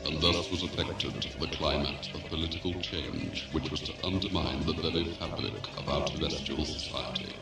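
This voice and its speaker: A solemn herald of a doomed world in a science fiction audio play. The voice is extremely deep and gravelly, stately and grave, echoing under loud music. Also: male voice, fairly even intonation, normal speed, hardly any pauses. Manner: portentous, stately. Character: solemn doom-laden recorded herald of a dead Earth. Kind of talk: audio drama acting, formal proclamation.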